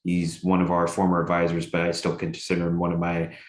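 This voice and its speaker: A young man with a very low, deep voice talks through a college football preview in a loose, rambling way, full of "uh" and "you know" between his points. He sounds like he is in an echoey room. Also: monotone, a little fast. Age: young adult. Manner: rambling with many fillers, enthusiastic. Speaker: sports show co-host and radio broadcaster. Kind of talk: casual sports chat.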